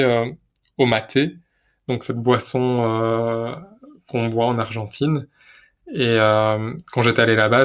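A man's voice: medium pitch, a bit bright. A man co-hosting a podcast, talking casually with many fillers and repeated words. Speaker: podcast co-host. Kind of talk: casual chat.

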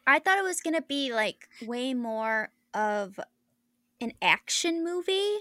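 Female co-host, bright and giggly, casual banter, dry studio sound. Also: high voice, big pitch swings, no echo. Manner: giggly, quick agreeing asides. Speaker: podcast co-host. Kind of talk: casual chat.

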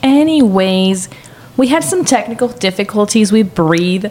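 A woman's voice: medium pitch, animated, a little slow, darkish tone. Young woman cohosting a podcast, chatty, says like a lot, easy laughs. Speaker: podcast co-host. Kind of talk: casual chat.

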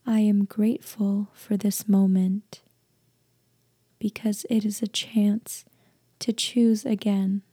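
A woman leading a guided meditation in a soft, breathy, half-whispered voice, gentle and deliberate, leaving long pauses. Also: mid-range voice, little pitch movement, dry recording, clean studio sound. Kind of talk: guided meditation, slow soothing instruction.

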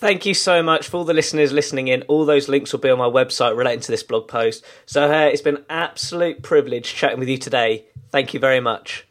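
A man's voice: medium pitch, fast talker, few pauses, fairly bright tone. A man hosting a podcast, addressing listeners in an earnest, emphatic, motivational way. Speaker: podcast host.

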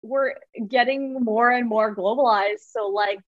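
A woman's voice: on the high side, steady tone, measured pace, no room sound. A woman talking as a podcast guest, thoughtful and earnest, often restarting her sentences. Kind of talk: reflective conversational chat. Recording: dull, dry sound.

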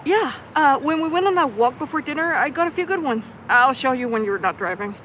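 A woman's voice: sits high, lively intonation, fairly quick, lo-fi sound, a little hiss or hum. A woman playing a supportive, teasing young traveller in an audio drama, conversational and reassuring. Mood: teasing, friendly. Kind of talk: naturalistic audio drama acting.

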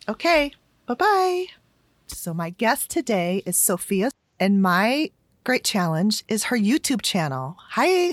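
Woman, podcast host, very slow pace, chatty, many fillers, dry close sound. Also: medium pitch, no echo. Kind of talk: casual podcast chat.

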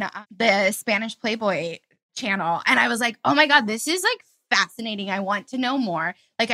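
Lady host, really high sharp voice, gushy and slangy, just chatting. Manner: gushing, slangy. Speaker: host, interviewer. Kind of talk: casual chat.

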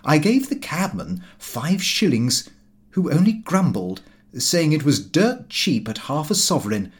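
A man's voice: on the high side, sing-song, measured pace. Expressively lilting man reading a comic diary. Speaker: audiobook reader. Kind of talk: scripted narration of a comic diary novel.

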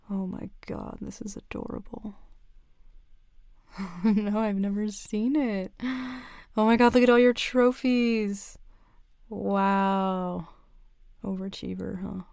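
A young woman playing a shy, nervous tomboy best friend confessing a crush in an intimate audio roleplay, hesitant and halting. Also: medium pitch, a little mellow, no room sound, studio quality. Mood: playful, teasing.

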